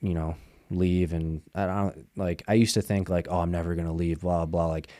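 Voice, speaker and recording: A young man from the Midwest talks as a podcast guest, his voice deep and mellow. He rambles loosely, leaning on fillers like "like" and "bro". The sound is dry and close, with no room around it. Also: level tone, brisk, no echo. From Madison, Wisconsin. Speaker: guest, MMA fighter. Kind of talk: casual interview chat.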